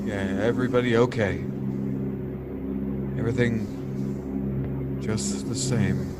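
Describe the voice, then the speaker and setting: An actor playing a dutiful airplane pilot in a classic 1940s-style radio drama. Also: male voice, lowish voice, slow, heavy background noise. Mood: steadying. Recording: loud music and engine behind.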